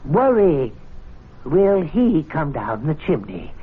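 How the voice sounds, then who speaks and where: An actor playing a sly, polite and unruffled club servant with a mischievous streak, in a 1970s radio drama. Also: male voice, fairly high pitch, big pitch swings, slow pace. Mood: gleeful, defiant. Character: sly, deferential club servant with quiet grudge against snobs. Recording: muffled, noisy vintage radio recording.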